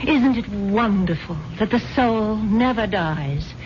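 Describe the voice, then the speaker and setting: An actress playing a dreamy, gullible wealthy wife devoted to spiritual fads, gushing and earnest in a 1970s radio drama. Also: female voice, medium pitch, expressive, slow, noisy background. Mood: rapturous, earnest. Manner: gushing, earnest, breezily airy. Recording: dull vintage radio recording with music.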